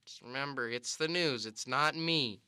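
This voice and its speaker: A man's voice: mid-range voice, normal speed, bright, forceful tone, clean tone, dry recording, voice pushed down. Man acting a bossy, grumpy husband. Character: demanding, grumpy husband settled in for the news. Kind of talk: improvised comic radio sketch.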